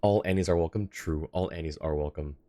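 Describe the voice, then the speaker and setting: A young man chats casually as he streams a game. His voice sits low, and the close microphone sounds very dry, with no room on it. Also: a little fast, warm tone, dry recording. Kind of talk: casual livestream chat and game commentary.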